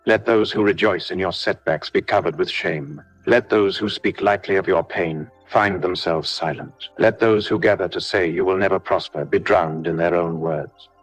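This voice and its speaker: A man delivering a scripted devotional prayer in a solemn, declarative way with measured phrasing. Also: sits low, no room sound. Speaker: prayer leader. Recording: music behind the voice. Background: background music.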